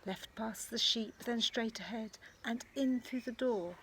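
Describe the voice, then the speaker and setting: A woman's voice: a little high, unhurried, fairly bright tone, slight background noise. A woman voicing a tiny ladybird, whispering a warning to the farm animals in a storybook. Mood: urgent whisper.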